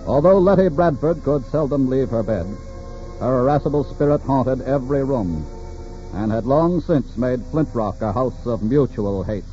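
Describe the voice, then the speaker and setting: A man narrating a 1940s radio crime drama as announcer, grave and dramatic in measured phrases. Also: mid-range voice, expressive, dark tone, soft background music. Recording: muffled 1940s radio recording.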